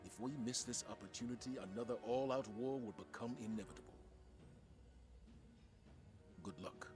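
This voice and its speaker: A man's voice: medium pitch, fast talker, close to an everyday voice. Man playing a grave commander over music. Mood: grave, resolute. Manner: authoritative, serious. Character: grave, measured military commander briefing a soldier. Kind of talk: video game mission briefing.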